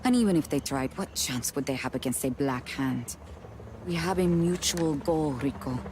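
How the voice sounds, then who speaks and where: A woman's voice: low-pitched, animated, normal speed, background noise. A woman playing a determined rebel strategist in a video game, speaking in a firm, earnest, persuasive way. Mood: resolute. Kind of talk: video game cutscene acting.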